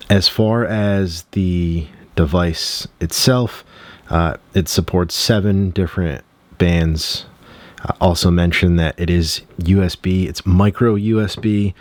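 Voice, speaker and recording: Man, very low voice, dry close sound, casual gear review, clears his throat a lot. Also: no echo. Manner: relaxed, loose, frequent throat clearing and fillers. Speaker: product reviewer.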